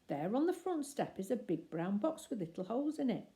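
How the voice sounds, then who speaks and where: A woman reading a children's story aloud expressively, with deliberate phrasing and emphatic pauses. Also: on the high side, big pitch swings, warm tone.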